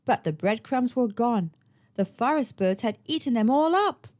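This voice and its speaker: High-pitched, animated woman storyteller. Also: normal speed, lo-fi sound. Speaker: children's storybook narrator. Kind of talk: expressive read-aloud storytelling.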